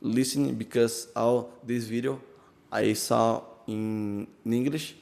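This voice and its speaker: Man, slow unhurried delivery, gravelly fry, halting learner English. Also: medium pitch, fairly even intonation, darkish tone. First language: Portuguese. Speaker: vlogger. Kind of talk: casual English practice vlog. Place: empty room he found with an open door.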